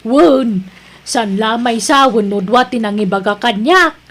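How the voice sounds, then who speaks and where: Woman acting as worried wife, kinda high voice, ilocano accent, scolding and plaintive, drama story. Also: unhurried, a bit bright. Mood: concerned. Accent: Filipino, Ilocano.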